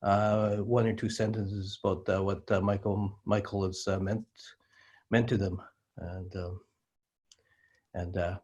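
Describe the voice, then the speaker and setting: A man hosting casually and haltingly on a video call. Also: low voice, flat delivery, darkish tone, dull audio. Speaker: host.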